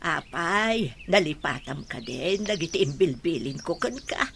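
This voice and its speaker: A woman playing a caring old grandmother in a radio drama, with a drawn-out, emotional delivery. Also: low-pitched, expressive, gravelly, fairly bright tone, a little hiss or hum, heavily acted. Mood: fussing, caring.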